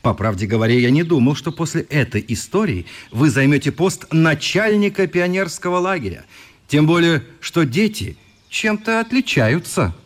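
An actor playing a good-natured journalist in a radio play, conversational, wry and mock-polite. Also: male voice, on the high side, sing-song, normal speed. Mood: politely ironic.

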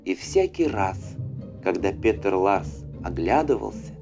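A man narrating a fairy tale as an audiobook, with an expressive, dramatic storyteller's delivery. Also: low-pitched, unhurried, creaky. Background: faint music.

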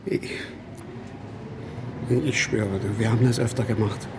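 An actor playing a desperate, guilt-ridden working-class father in a naturalistic German radio drama, halting and repeating himself as he pleads. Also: fairly low pitch, fairly even intonation, noisy background, close to an everyday voice. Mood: distraught, pleading.

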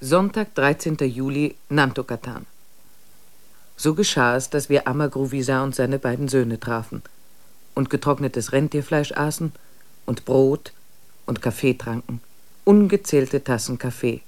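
A man narrating a travel diary in a German radio play, calm and measured. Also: medium pitch, close to an everyday voice.

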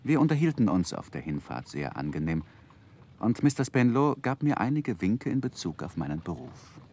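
An actor playing an earnest, romantic gentleman narrating his own youth in measured, literary for a 1960s radio drama. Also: fairly low pitch, sing-song, husky, exaggerated voice. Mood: reflective, composed. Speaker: narrator and main character. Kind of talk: dramatic first-person narration, 1960s German radio play.

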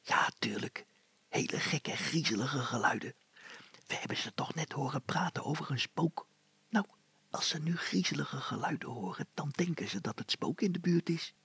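A man voicing an eager, mischievous boy who speaks to his father in confident, hushed whispers. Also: a little high, lively intonation, moderate pace, breathy edge, dry recording, voice pushed up, full character voice.

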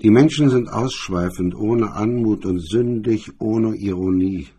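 A man voicing a haughty, scornful female film star in a crime radio drama, husky and disdainful. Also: on the low side, unhurried, mellow. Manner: disdainful, snide, affected.

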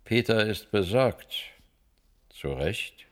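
A man's voice: lowish voice, expressive, takes it slowly. An actor playing a brusque, authoritative middle-aged spaceship commander and father in a German science-fiction radio drama. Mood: probing, concerned.

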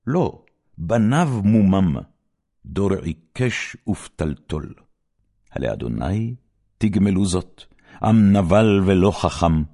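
A man giving a solemn, declamatory scripture reading, with rhythmic phrasing and dramatic pauses between verses. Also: low-pitched, dark tone. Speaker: Bible reader.

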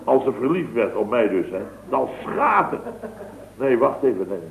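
A man's voice: mid-range voice, big pitch swings, moderate pace, creaky, slight background noise. A man playing a boastful, rambling contractor in a 1960s radio comedy. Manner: rambling, boastful, full of tag words. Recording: tinny, echoey old radio broadcast.

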